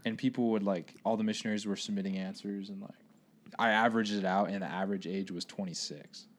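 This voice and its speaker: A man in his twenties in a casual podcast chat, telling stories and reacting with lively slang. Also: fairly low pitch, fast. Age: 20s.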